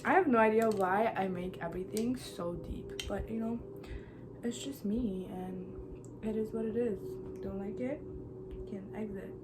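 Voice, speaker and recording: A woman's voice: medium pitch, unhurried, noisy background. A woman in her twenties from Iran, chatting casually and bluntly while doing her makeup, giving motivational pep talk. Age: 20s. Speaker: beauty vlogger. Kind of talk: casual get-ready-with-me chat.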